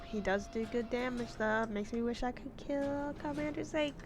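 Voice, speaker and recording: A woman's voice: a little high, moderate pace. A woman giving casual let's-play commentary, chatty, exclaiming at setbacks and making wry, self-mocking asides. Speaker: gamer. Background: game music.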